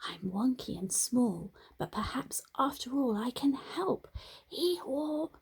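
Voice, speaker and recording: A woman voicing a small, wonky grey female donkey in a storybook, with a high, wailing, pleading voice. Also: very animated, slow pace, creaky, dark tone, exaggerated voice. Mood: hushed hopeful.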